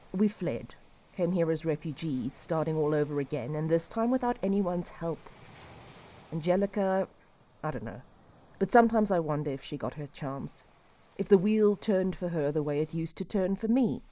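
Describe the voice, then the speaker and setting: A woman acting a despairing refugee who confesses old guilt in a reflective, halting way, in an audio drama. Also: fairly low pitch, dark tone, lightly acted.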